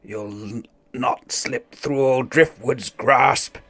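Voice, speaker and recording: A man voicing a rough, eager pirate crewman with gruff seafaring speech in a storybook. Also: mid-range voice, takes it slowly, a bit bright, dry recording, voice pushed up. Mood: determined.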